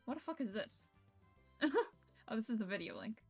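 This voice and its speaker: A woman's voice: a little high, expressive, normal speed, a little mellow, husky. A woman streaming a visual novel, reading it aloud expressively and breaking off into casual, reactive asides. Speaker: game streamer. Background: game music.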